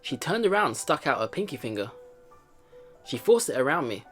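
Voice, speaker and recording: A man reading a visual novel aloud as a let's play host, with expressive dramatic narration and his own commentary. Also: on the high side, a little fast. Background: game music.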